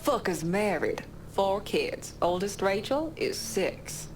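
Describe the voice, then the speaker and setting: A woman's voice: medium pitch, sing-song, slow, background noise. An actress playing a tough, foul-mouthed woman with a casual, streetwise manner in a video game cutscene. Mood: wry, gossipy. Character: tough, foul-mouthed woman, teasing old friend, privately insecure.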